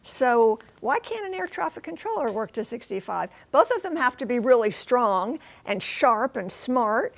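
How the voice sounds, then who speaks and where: A woman's voice: on the high side, sing-song, moderate pace, gravelly, hardly any pauses. A woman working as a TV news correspondent, explaining things conversationally with emphasis and rhetorical questions. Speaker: news transportation correspondent and pilot.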